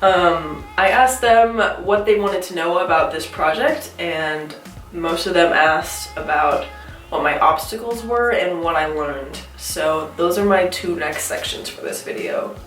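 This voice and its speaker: A woman's voice: on the low side, measured pace. Congested young woman, roomy far-off sound. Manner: chatty, self-questioning, giggly. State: congested from a cold. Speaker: artist vlogger. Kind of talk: casual talking-to-camera vlog. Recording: roomy echo, a bit off-mic, soft music.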